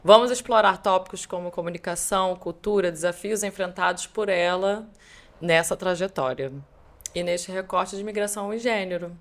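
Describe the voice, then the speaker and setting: A woman's voice: on the low side, normal speed. A woman co-hosting a podcast, welcoming listeners and introducing the guest point by point. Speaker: podcast co-host.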